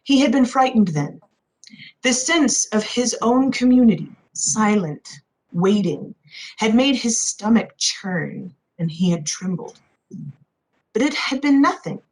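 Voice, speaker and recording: A woman reading a novel aloud as expressive scripted narration. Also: a little high, measured pace, low-quality recording. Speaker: audiobook narrator.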